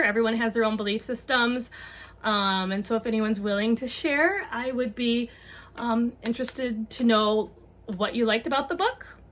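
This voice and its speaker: A woman's voice: a little high, a bit bright. A woman reading a children's book aloud and chatting casually to parents, explaining things simply.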